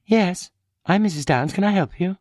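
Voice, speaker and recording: A man's voice: high voice, normal speed, a little mellow, no room sound, clean studio sound, voice pushed up, natural voice. A man voicing a confused, vulnerable middle-aged wife on the phone in an audiobook. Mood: flustered. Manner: confused, hesitant.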